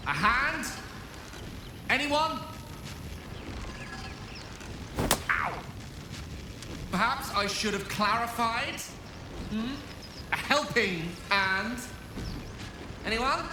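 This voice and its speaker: Wordy, animated wizard played by a man, noisy ambience. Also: very high-pitched, slow, pauses often, full character voice. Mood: pleading, wry. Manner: wordy, wry, courteous, theatrical. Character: affable, verbose, erudite male wizard. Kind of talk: cinematic fantasy game-style acting.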